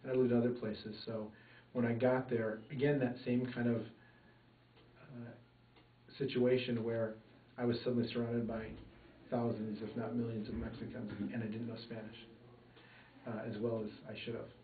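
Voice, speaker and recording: A man from Minnesota giving an oral history interview, talking in a thoughtful, measured way with many fillers. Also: mid-range voice, level tone, a little hiss or hum. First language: English. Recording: echoey room, far mic, phone-like band.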